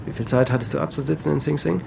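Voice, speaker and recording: A man's voice: fairly high pitch, fairly even intonation, very fast, vocal fry, background noise. An actor playing a tipsy, nosy man at the wheel in a radio drama, hesitant and muttering. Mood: nosy, probing. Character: tipsy, nosy male driver, weak and wavering.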